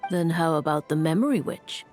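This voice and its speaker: A woman playing a solemn, reflective mature witch in a fantasy video game, speaking in a measured, grave manner. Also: low-pitched, lively intonation, darkish tone, faint music behind. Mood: wistful, solemn.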